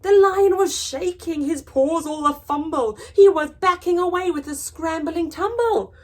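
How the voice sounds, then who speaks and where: A woman reading a children's picture book aloud in a dramatic, expressive storytelling manner, moving between hushed and emphatic delivery. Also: extremely high pitch, measured pace. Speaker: story time reader.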